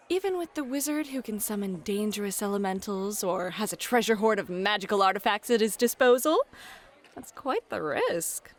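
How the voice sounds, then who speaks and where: A woman's voice: mid-range voice, a bit bright. A woman playing a friendly, flirty club hostess and game master in an ASMR roleplay, chatty and playful. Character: friendly, flirty club hostess who runs tabletop games. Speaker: host and dungeon master.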